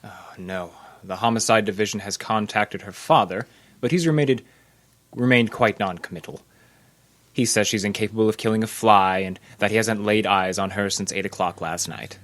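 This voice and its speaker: Man acting a formal, low-voiced doctor. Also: steady tone, moderate pace, fairly bright tone, close to an everyday voice. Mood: formal, guarded. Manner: formal, precise, courteous. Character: formal, smooth, accusing male sanatorium doctor. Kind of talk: old-time radio mystery acting.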